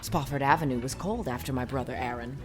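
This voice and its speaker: A woman playing a proud, brisk old spinster lady in a dramatized audiobook, speaking in an emphatic, decisive way. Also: a bass voice, faint music behind. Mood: proud, fond. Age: older. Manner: emphatic, decisive, plain-spoken.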